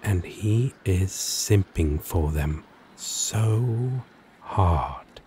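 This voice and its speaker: Slow, low-voiced flirty demon played by a man. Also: lively intonation, warm tone, slight background noise, heavily acted. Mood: sheepish, teasing. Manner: teasing, halting, dramatic delivery. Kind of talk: ASMR romance roleplay acting.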